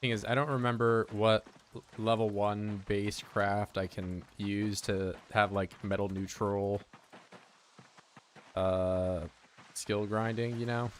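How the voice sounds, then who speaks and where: A man's voice: on the low side, a little hiss or hum. A young man streaming a survival game, rambling and joking with his chat in a casual way. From United States. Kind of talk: casual gameplay commentary.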